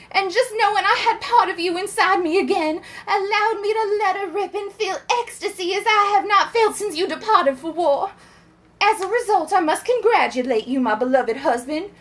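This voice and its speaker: A woman's voice: very high voice, a bit bright. A woman playing a melodramatic belle wife, genteel yet bawdy, reading love letters for comedy. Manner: genteel, drawn-out, theatrical.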